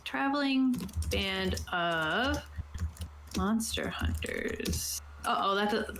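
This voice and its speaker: A woman's voice: medium pitch, animated, takes it slowly, background noise. A woman game master casually explaining a tabletop game setup, chatty and informal with jokey asides. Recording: roomy, echoey sound.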